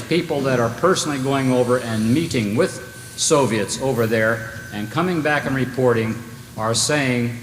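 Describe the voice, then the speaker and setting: A man in the audience making an earnest, hesitant comment at a town hall, with many pauses and fillers. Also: mid-range voice, slight background noise. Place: large lecture hall. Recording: roomy hall with faint ambience, from the floor.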